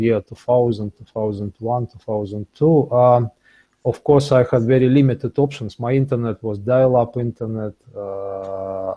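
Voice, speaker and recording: A man speaking English as an in a casual interview, with halting, repetitive phrasing. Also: medium pitch, mellow, dull audio. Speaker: interview guest, English learner.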